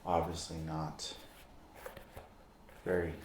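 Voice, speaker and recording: A low-pitched man speaks slowly in short, deliberate phrases, playing a friendly amateur athlete in an ASMR roleplay. He sounds like he is demonstrating things step by step. The room adds noticeable echo. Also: monotone. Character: Joe, friendly amateur basketball player and recovery expert. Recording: roomy echo, clear background noise.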